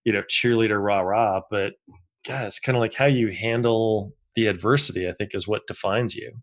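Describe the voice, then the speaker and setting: A man's voice: fairly low pitch, fairly even intonation, moderate pace. Reflective rambling man hosting a chat. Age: 50s. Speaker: podcast host. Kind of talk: casual interview chat.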